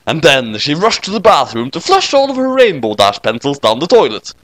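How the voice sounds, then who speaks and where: Brief: male storyteller, medium pitch, animated comic narration in a dry, close sound. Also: fairly quick, no echo. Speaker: narrator reading fan fiction.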